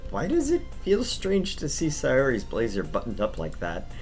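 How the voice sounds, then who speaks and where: A man's voice: mid-range voice, wildly swooping pitch, normal speed, a little mellow, soft background music. A man streaming a visual novel read-aloud, switching between playful narration and casual chat.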